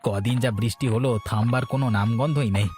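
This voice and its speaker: Guy playing a weary cartoon husband, talks quick, plain and homely, faint music under it. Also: mid-range voice, a little mellow, natural voice. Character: sensible, weary working husband, mildly scolding. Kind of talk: Bengali cartoon story dialogue.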